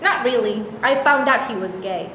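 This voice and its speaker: A woman's voice: a little high, moderate pace, pushed and bright. A woman playing a naive, curious, chatty young woman with a guileless manner at a staged play reading. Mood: artless. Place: staged reading in an echoey hall, distant mic. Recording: echoey hall from far off, faint noise.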